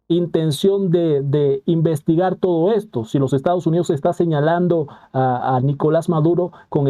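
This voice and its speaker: A young man hosts a live stream, talking to his viewers off the cuff. His voice is very dark and mellow, and he stops often on drawn-out fillers before going on. The pitch sits a little high for a man. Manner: halting, many "eh" fillers and restarts, reads out viewer comments. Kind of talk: live commentary reacting to a news clip.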